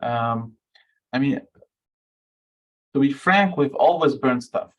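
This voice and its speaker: A man's voice: mid-range voice, slow pace, low-quality recording. A man from Lebanon answering audience questions as a guest researcher, speaking frankly with frequent fillers and restarts.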